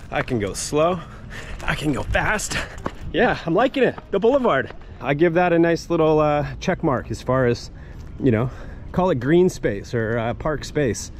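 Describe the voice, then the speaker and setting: A man in his forties chatting casually and loosely to an action camera held at arm's length while walking outdoors. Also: fairly high pitch. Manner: chatty, upbeat, loose and rambling. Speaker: travel vlogger. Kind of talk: casual walk-and-talk vlog. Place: busy city streets and an empty riverside boulevard, outdoors, hot day. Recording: loud outdoor street noise.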